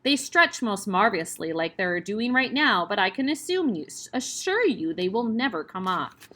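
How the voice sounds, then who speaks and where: A woman doing a towering, brutal headmistress from a storybook, loud and contemptuous, barking every order and sneering at small children. The voice is bright and keen, and it lurches up and down in pitch. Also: big pitch swings, moderate pace. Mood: bullying. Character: huge bullying tyrant headmistress, older woman.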